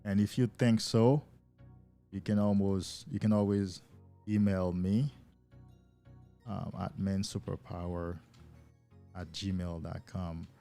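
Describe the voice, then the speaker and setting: A man's voice: low voice, fairly even intonation, slow, vocal fry, long pauses, mellow, soft background music. A man hosting a casual live stream as a coach, talking loosely and enthusiastically to viewers and often repeating words as he goes.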